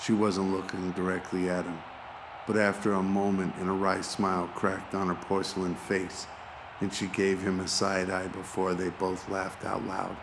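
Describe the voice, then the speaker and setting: Very deep male story narrator. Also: completely flat delivery, normal speed, noisy background. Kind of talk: scripted dramatic story narration. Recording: music behind the voice.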